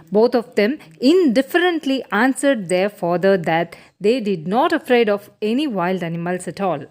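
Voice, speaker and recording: A woman narrating a scripted bedtime story for children in an expressive, teacherly way. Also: mid-range voice.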